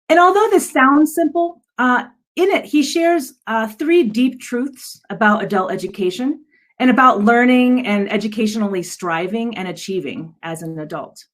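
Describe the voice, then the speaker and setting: A woman giving an earnest slide presentation on a video call as a director, with a hesitant delivery. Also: medium pitch. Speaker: adult education director presenting. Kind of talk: virtual slide presentation to an audience. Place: virtual event on video call.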